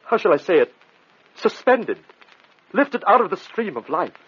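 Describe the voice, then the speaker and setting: An actor playing a desperate, devoted husband, earnest and pleading in a melodramatic old radio drama style. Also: male voice, sits high, sing-song, a little slow, a bit bright, slightly rough, heavily acted. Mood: urgent pleading. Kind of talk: vintage radio drama acting. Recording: dull old radio recording.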